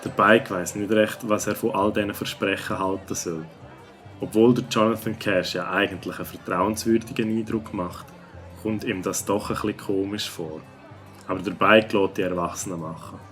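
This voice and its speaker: A man with a low voice narrates a children's story. Soft music runs behind him. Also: fairly even intonation, moderate pace.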